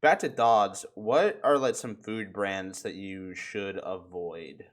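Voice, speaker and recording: A man's voice: on the low side, takes it slowly. A young man hosting a talk stream in a casual, joking, chatty way. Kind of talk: casual chat.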